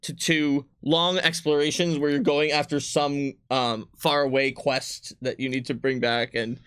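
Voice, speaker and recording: A man's voice: fairly high pitch, level tone, unhurried, fairly bright tone, smooth. A man, a game designer on a livestream, chatting casually in an enthusiastic, rambling way. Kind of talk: casual chat about game books.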